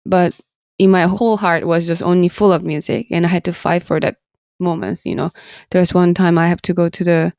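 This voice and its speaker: Soft-spoken Korean woman musician, dry mic. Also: on the low side, little pitch movement, vocal fry, dry recording. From South Korea. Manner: halting, earnest, laughs often, simple phrasing. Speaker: guest musician. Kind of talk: casual interview talk.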